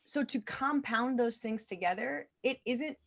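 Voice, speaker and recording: A woman's voice: on the high side, unhurried, creaky, a bit bright. A woman speaking casually as an expert guest in a webinar, emphatic and repeating phrases to stress her points. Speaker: guest physician and nonprofit founder. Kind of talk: casual webinar talk about esports advocacy.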